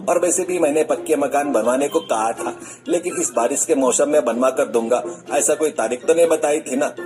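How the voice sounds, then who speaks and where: Arrogant cartoon politician, acted by a man, raised pitch, selfish, dismissive, boastful, music behind. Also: normal speed, darkish tone, natural voice. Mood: dismissive, irritated. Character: selfish, arrogant corrupt male village politician. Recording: music bed under the voice.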